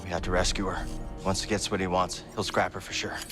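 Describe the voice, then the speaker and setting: An actor as a low-voiced young hero. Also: male voice, level tone, fast talker, in a large hall, lightly acted. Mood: earnest, determined. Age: young adult. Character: young male Jedi hero, earnest and determined. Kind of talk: naturalistic cinematic video game acting. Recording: loud music behind dialogue.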